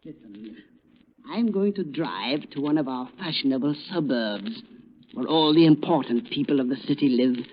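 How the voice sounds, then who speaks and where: An actor playing an insane, gloating scientist poisoner in old radio style, maniacal and taunting, swinging from hushed menace to shrill cries. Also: male voice, expressive, normal speed, natural voice. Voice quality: high, cackling voice. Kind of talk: 1930s radio acting. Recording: dull, noisy old radio recording.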